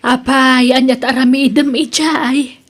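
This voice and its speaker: An actress playing a homely, concerned, questioning woman in an Ilocano radio drama, with an Ilocano accent and a breathy, husky voice. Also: high voice, fairly even intonation, a little slow. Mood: worried, caring.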